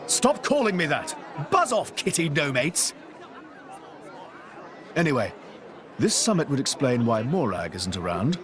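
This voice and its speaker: An actor with a British accent playing a cocky young adventurer in a video game, breezy and colloquial. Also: male voice, mid-range voice, sing-song, measured pace, pauses often, a crowd in the background, background noise. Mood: indignant. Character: cocky, good-humoured young exiled royal adventurer. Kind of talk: animated video game voice acting. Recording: music behind.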